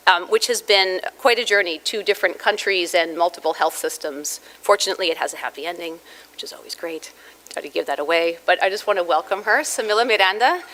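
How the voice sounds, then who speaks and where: A woman's voice: medium pitch, sharp tone, rough recording. A woman family doctor gives chatty welcome remarks to an audience, full of restarts and fillers. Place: lecture room with audience.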